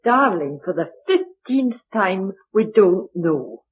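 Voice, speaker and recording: A woman playing a gentle, stoic blind survivor in a radio drama. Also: mid-range voice, very animated, slow pace, husky, exaggerated voice. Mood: patient, apologetic. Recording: dull lo-fi radio recording.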